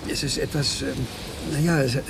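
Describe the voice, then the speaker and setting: An actor playing a neurotic, stammering comedian who claims to be trapped in a bodybuilder's body, in a radio play. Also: male voice, medium pitch, steady tone, a little fast, vocal fry, close to an everyday voice. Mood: nervous. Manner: stammering, anxious, pleading. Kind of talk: 1980s German radio play acting. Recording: faint tape hiss.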